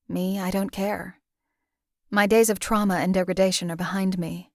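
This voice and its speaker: Woman playing a deadpan female assassin, game companion, low voice, dry close sound, formal and measured. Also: no echo, lightly acted. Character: cold, detached female hired assassin.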